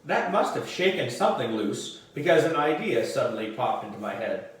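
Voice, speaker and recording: A man reading a children's story aloud in an animated way, with dramatic emphasis. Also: mid-range voice, moderate pace. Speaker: audiobook reader for a book club. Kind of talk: expressive children's storybook narration. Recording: roomy echo, distant microphone.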